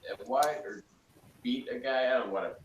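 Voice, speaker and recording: A man's voice: mid-range voice, a little fast, dull audio. A man giving casual critical commentary as a panelist, blunt and dismissive in his manner. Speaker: podcast panelist. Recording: echoey room, far from mic.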